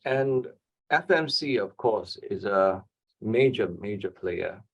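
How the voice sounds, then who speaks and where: Husky low-voiced male interview host. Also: expressive. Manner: polite, measured questions. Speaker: law professor, interview host. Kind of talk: hosting an interview.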